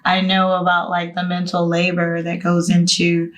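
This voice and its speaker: A woman of twenty-nine speaks in a casual group discussion. Her voice is low and flat in tone. She is thoughtful and often corrects herself mid-phrase. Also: normal speed.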